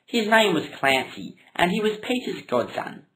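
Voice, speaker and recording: A woman narrating a comic puppet story in a deadpan storytelling style. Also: lowish voice, normal speed, poor audio.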